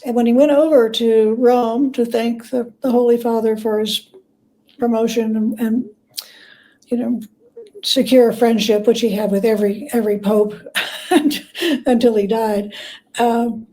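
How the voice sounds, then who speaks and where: A woman with a soft-edged, mellow voice set a little high speaks as an interview guest. She tells stories from history in a conversational, measured way, pausing to find the next piece. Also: little pitch movement, rough recording. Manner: measured, pauses to gather thoughts. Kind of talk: conversational storytelling.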